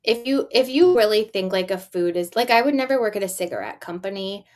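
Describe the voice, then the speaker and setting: A woman's voice: medium pitch, fairly quick. Woman podcast co-host, chatty and emphatic. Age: 40s. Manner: animated storytelling with rhetorical questions and emphatic asides. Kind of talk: casual conversational business news chat.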